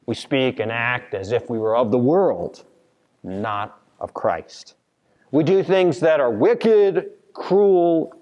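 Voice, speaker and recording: An American man preaching a sermon as a pastor, speaking earnestly and in a measured way with deliberate pauses. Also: mid-range voice, mellow. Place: large church sanctuary, at a pulpit. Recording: long reverb of a church hall.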